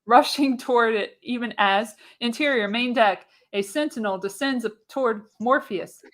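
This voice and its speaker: A woman's voice: on the high side, normal speed, a bit bright. A woman reading screenplay narration for a live radio play, theatrical and emphatic on the action. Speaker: podcast host reading the narration.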